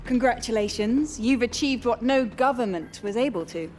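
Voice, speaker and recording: An actress playing a polished, probing television interviewer in a video game. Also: female voice, fairly high pitch, lively intonation, normal speed, darkish tone, slight background noise, natural voice. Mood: poised.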